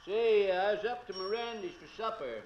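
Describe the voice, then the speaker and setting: An actor playing a friendly, easygoing small-town gas station attendant in an old radio drama. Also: male voice, high voice, lively intonation, normal speed, dull audio, crowd noise. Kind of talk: 1940s-50s radio drama acting.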